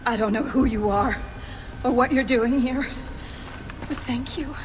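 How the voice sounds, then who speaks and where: An actress playing a frightened, earnest captive scientist in a video game. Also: female voice, on the high side, unhurried, a little hiss or hum, lightly acted. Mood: shaken, explaining. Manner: anxious, earnest. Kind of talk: video game cutscene acting.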